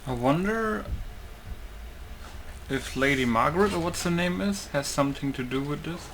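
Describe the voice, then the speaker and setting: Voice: medium pitch, expressive, moderate pace, a bit bright, rough recording. A game player giving casual, offhand play-along commentary. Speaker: game streamer. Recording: clear game noise behind voice.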